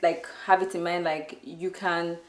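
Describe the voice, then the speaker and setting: A young woman, chatting warmly as an interview guest with lots of "like" fillers. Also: fairly low pitch, little pitch movement, unhurried, roomy sound, noisy background. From Nigeria. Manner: warm, upbeat, many "like" fillers. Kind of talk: casual interview answers. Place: indoor studio on a sofa. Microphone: off-camera shotgun mic.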